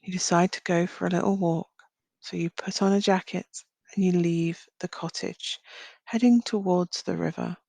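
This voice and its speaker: A woman leading a guided visualisation as a meditation guide, speaking gently in short phrases with long pauses. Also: on the low side, fairly even intonation, creaky, no room sound. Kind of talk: guided visualisation, slow soothing narration.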